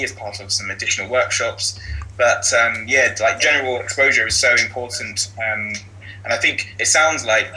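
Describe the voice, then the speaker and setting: A man speaking as a teacher guest in a casual podcast discussion, explaining earnestly and haltingly, often repeating phrases. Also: medium pitch, sharp tone, low-quality recording. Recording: faint background noise.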